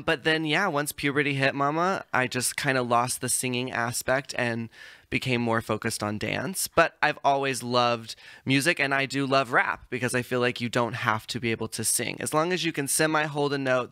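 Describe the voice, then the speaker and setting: A campy, sassy man talks as a podcast guest, full of theatrical slang and quick jabs. His voice is bright and mid-pitched, close on a dry studio mic. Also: steady tone, clear, resonant tone, no echo. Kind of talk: casual podcast chat.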